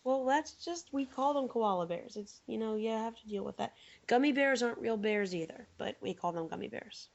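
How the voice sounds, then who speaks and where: A woman co-hosting a podcast with a hoarse voice from laryngitis, joking and bantering in casual chat. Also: fairly high pitch, lively intonation, moderate pace. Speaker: podcast co-host. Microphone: remote call microphone. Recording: dull lo-fi online call audio.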